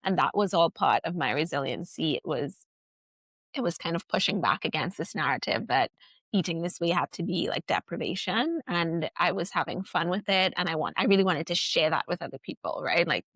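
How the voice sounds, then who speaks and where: Podcast guest: a woman from Trinidad and Tobago, low and gravelly, casual and reflective. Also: lively intonation, fairly quick, a bit bright, dry recording. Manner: chatty, many "like" fillers and self-restarts. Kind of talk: casual reflective interview talk.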